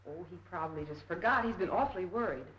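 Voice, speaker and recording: An actress playing a polite, coaxing office secretary, soothing and apologetic, in 1930s film style on an old noisy soundtrack. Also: female voice, lowish voice, lively intonation, fast talker, a bit bright, reverberant, highs cut off.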